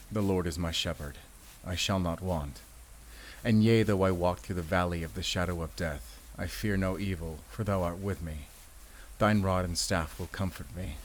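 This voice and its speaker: An actor playing a battle-weary, protective werewolf hunter in an audio roleplay, with a grave, deliberate, commanding way of speaking to the listener. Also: male voice, deep voice, a little hiss or hum, lightly acted, poor audio. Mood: grave, bitter.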